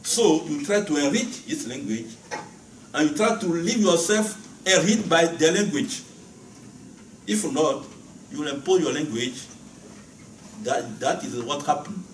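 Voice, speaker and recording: A man from Benin whose first language is Ewe, speaking English as a guest lecturer and answering questions, often pausing and repeating words as he searches for phrasing. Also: on the high side, expressive. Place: lecture hall. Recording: echoey hall, voice from far off, faint noise.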